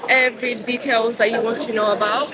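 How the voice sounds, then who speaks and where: Woman, italian and moroccan arabic first, kinda high voice, hesitant english, lots of "like", noisy street. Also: steady tone, a little fast. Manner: hesitant, searching for words, lots of "like". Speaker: passerby being interviewed. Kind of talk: casual street conversation. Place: outdoors on a street. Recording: outdoor recording with street noise.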